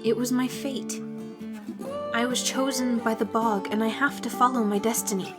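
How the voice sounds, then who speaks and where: Brief: female actor as earnest teen village girl, highish voice, defiant and challenging, fantasy audiobook over music. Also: steady tone, normal speed, close to an everyday voice. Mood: solemn. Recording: music behind the voice.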